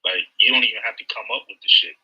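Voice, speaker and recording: A man hosting a casual chat, blunt and slangy with plenty of swearing, in a raspy voice. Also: fairly low pitch, quick pace, highs cut off. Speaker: host of a live audio discussion.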